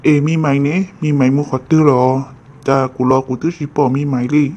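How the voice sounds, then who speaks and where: A man's voice: medium pitch, flat delivery, a little fast, darkish tone, close to an everyday voice. A man voicing a male character in a family drama cartoon, in dramatic dialogue. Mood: conversational. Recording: dull lo-fi, faint noise.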